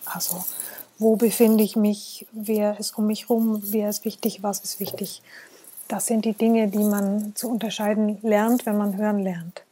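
A woman's voice: mid-range voice, level tone, a little slow, dark tone, slight background noise. A woman speaking as an expert in an interview, explaining things through rhetorical questions and examples.

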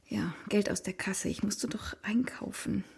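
A woman playing a guilty, pleading mother in a radio play, breathy, halting and defensive. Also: medium pitch, fairly even intonation, darkish tone, in a whisper, exaggerated voice. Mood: ashamed. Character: guilty, pleading mother confessing to her husband.

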